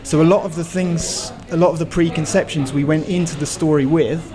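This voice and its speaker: A man's voice: a little high, fairly even intonation, brisk, crowd noise. A man being interviewed as a film crew member, explaining things casually as he thinks aloud.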